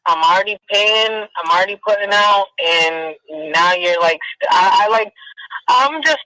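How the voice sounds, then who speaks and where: Woman caller, exasperated casual storyteller, bright forward tone, dry thin call audio. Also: medium pitch, moderate pace, no echo, rough recording. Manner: exasperated, repeats herself for emphasis. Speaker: caller telling her personal story.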